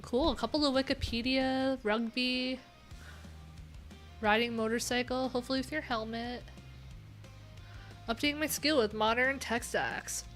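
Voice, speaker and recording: A woman's voice: high-pitched, steady tone, a little slow, pauses often, fairly bright tone. A woman hosting a casual livestream, reading out viewers' answers and adding loose, chatty asides with lots of fillers. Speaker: livestream host. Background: pop punk music playing.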